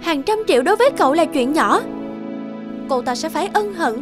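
A woman's voice: sits high, lively intonation, fairly quick. A woman voicing a blunt young friend who scolds and pushes with insistent questions in a cartoon. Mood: scolding. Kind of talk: cartoon voice acting. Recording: music under the voice. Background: background music.

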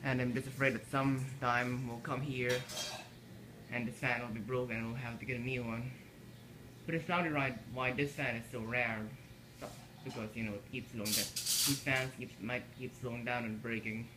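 Male vlogger, everyday mid voice, halting delivery, phone audio in a home with audible room noise. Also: mid-range voice, fairly even intonation. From Philippines. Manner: halting, repeats words and restarts often. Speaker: fan enthusiast vlogger. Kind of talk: casual filmed commentary. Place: indoors in a house kitchen. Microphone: handheld phone camera.

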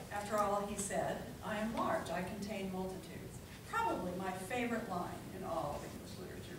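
A middle-aged woman speaking and reading expressively at a public event, with deliberate phrasing, picked up from a distance in a meeting room. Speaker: librarian and professor reading at an event. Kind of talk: informal public talk and poetry reading. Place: library meeting room with seated audience. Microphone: distant camera. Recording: roomy, distant microphone, clear rumbling room noise.

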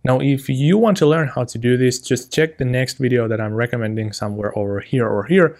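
A young man talks through a software tutorial in a casual, upbeat way. His voice sits at an ordinary pitch for a man, and he explains step by step, thinking aloud with little asides. He speaks from an indoor room. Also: a little fast, soft background music. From Finland. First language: Finnish. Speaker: tutorial host. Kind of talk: casual screen-recorded tutorial walkthrough.

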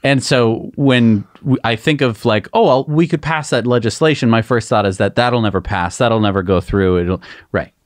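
A man's voice: mid-range voice, moderate pace, dry recording. Young male co-host, casual and chatty, dry close desk mic. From United States. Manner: thinks aloud with casual fillers. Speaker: podcast co-host. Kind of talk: casual interview chat. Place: studio with shelves, indoors. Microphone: desk mic on arm.

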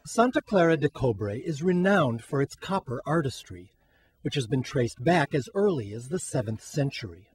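A man narrating a TV news feature as producer and reporter, in a measured scripted delivery. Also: mid-range voice, big pitch swings, slight background noise.

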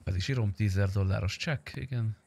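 A man's voice: on the low side, creaky, darkish tone, dry recording. A man in his thirties improvising game roleplay banter in a sweary, exclamatory way. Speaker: streamer playing a roleplay game character.

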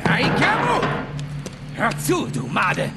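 A high, gravelly pirate gang boss from an adventure game. He swings wildly in pitch, theatrical and coarse, full of mocking menace and volatile temper. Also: huge pitch swings, slow pace, over loud music, full character voice. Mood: furious barking. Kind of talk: cinematic video game villain acting, German dub.

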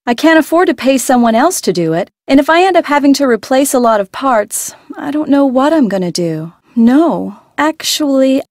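An actress playing a frank, stubborn adult boat owner in a video game, talking in a matter-of-fact way. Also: female voice, high voice, expressive, moderate pace, few pauses, a little mellow, dry recording, clean studio sound. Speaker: game voice actor.

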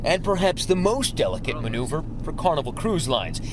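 A male network news correspondent narrates a story in a dramatic, emphatic way. His voice sits a little high and swings widely in pitch, with faint noise behind it. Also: very animated, fairly quick. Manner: dramatic, emphatic reporting delivery.

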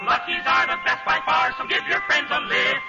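Men singing as chirpy elevator operators in a 1940s radio jingle style. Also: male voice, very high-pitched, brisk, loud music behind the voice. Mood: cheerful. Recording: muffled 1940s radio recording.

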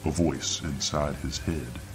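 A man narrating a scripted horror story in ASMR style, with an ominous, drawn-out delivery. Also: extremely low pitch, fairly even intonation. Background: background music.

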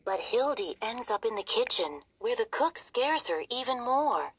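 A woman reading a children's story aloud in an expressive, theatrical manner. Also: fairly high pitch, unhurried, breathy edge, poor audio. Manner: animated, theatrical. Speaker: storybook reader.

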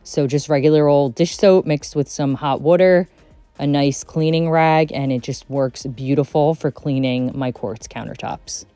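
Chatty low-voiced woman vlogger. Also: a little slow, warm tone, faint music behind. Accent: Texan. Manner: chatty and enthusiastic, says "like" often. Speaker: cleaning vlogger. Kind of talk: casual voice-over narration.

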